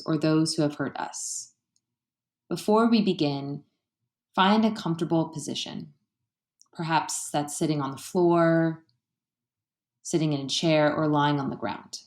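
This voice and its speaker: A woman leading a guided meditation in a gentle, soothing, unhurried way, leaving pauses between phrases. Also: low-pitched. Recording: roomy, echoey sound.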